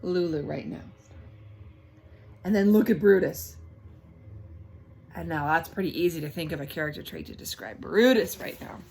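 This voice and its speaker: A woman reading a children's book aloud in an animated storytelling style, pausing like a teacher to prompt listeners with questions and stressing key words. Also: sits low, creaky, a little hiss or hum. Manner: instructive, prompting, emphatic repetition of key words.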